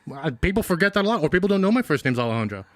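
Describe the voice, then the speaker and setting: A young man co-hosting a podcast, joking and swearing freely in animated banter. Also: fairly high pitch, very fast, dry recording, clean studio sound. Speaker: podcast co-host. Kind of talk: casual podcast chat.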